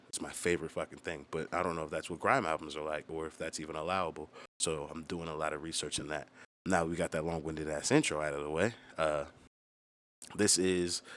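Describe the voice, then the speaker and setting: A man's voice: sits low, fairly bright tone. An American man talking casually about his own music as a rapper and video host, rambling and swearing freely. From United States.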